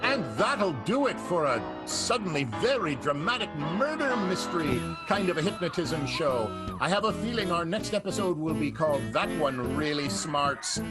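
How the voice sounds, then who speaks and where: A playful male host narrates and cracks puns in a high, lively voice. He speaks over a video call. Loud guitar music plays behind him. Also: normal speed, hardly any pauses. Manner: playful and punning. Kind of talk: jokey show wrap-up over video call.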